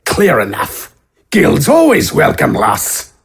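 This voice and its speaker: A man voicing a gluttonous, domineering middle-aged baron in a fantasy audio drama, gruff and raspy, with imperious archaic rustic phrasing. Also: medium pitch, huge pitch swings, slow pace, exaggerated voice. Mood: disdainful, commanding. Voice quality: raspy, gravelly.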